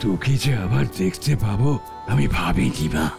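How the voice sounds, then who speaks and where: The ailing old father on his sickbed in an animated fairy tale, fretting haltingly in a cracked voice over background music. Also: lowish voice, vocal fry, exaggerated voice. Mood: moved, relieved. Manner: halting, repeats his words. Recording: music behind the voice.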